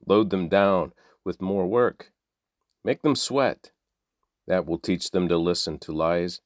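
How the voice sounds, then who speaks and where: A man's voice: low-pitched, fairly even intonation, a little slow, no echo, studio quality. A man voicing a stern, scornful ancient king who gives curt, dismissive orders.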